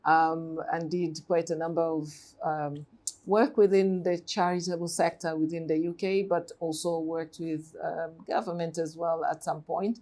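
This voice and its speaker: A woman's voice: low voice, level tone, a little slow, warm tone. A woman in her forties from Tanzania, talking thoughtfully as a podcast guest with many ums and restarts. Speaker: guest, nonprofit CEO. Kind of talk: podcast interview.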